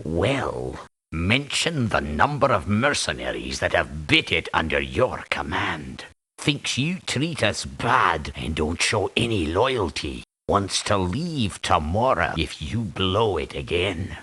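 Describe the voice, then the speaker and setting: A man's voice: deep voice, sing-song, slow, pushed and bright, breathy edge, heavily acted. A man voicing a stern, proud video game mercenary with a clipped, formal way of talking. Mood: affronted, stern. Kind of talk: video game character voice acting.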